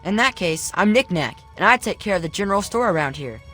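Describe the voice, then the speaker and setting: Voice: medium pitch, expressive, brisk, pushed and bright, faint music behind. An actor playing a cocky, scrappy boy shopkeeper in a video game, talking in a casual, slangy, streetwise way. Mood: cocky, curious. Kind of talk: video game character dialogue.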